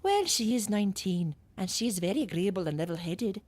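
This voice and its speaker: A woman plays a mother of a grown son in a period radio drama. She is genteel and persistent, coaxing her way along at a middling pitch. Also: big pitch swings, brisk, a little mellow. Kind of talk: period audio drama acting.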